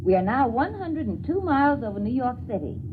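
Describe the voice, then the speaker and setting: An actress playing a young, poised spaceship hostess, giving formal, reassuring announcements in 1950s radio style. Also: female voice, high-pitched, sing-song, a little fast. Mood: bright, welcoming. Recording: tinny, noisy old radio recording.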